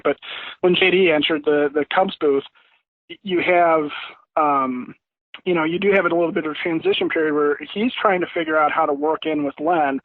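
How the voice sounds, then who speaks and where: Male sports guest, phone-quality and dry, higher-pitched, talks through analysis in a loose, rambling way. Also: no room sound. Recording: thin, dry phone-like line.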